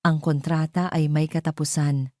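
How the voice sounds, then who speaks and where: Lady playing a young wife, calm n firm, low mellow voice, audio drama. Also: normal speed, dry recording, studio quality, natural voice. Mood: quiet defiance. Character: calm, resolute young wife breaking free.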